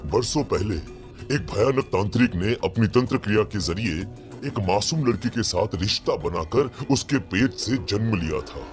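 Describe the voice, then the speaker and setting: A man's voice: lowish voice, lively intonation, moderate pace, lightly acted. A man playing a grave old village priest who speaks with solemn foreboding in a horror story. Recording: background music under the voice.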